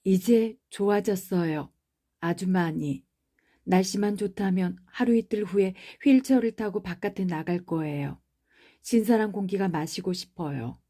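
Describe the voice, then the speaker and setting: A woman's voice: medium pitch, measured pace, mellow. Bossy, sickly young boy who talks like a little prince, read by a woman narrator; audiobook. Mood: imperious. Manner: commanding, demanding. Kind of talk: audiobook character reading.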